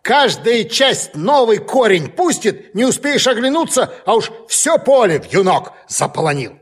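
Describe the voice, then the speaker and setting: A man playing a grumbling, scolding know-it-all rook with a raspy, cawing voice in a children's audio play. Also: high voice, very animated, exaggerated voice. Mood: grumbling, warning. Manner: scolding, emphatic, drawn-out.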